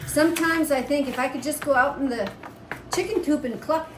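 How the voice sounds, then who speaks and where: A woman's voice: high voice, fairly quick. A woman chatting casually as co-host of a homestead vlog. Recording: roomy echo, background noise.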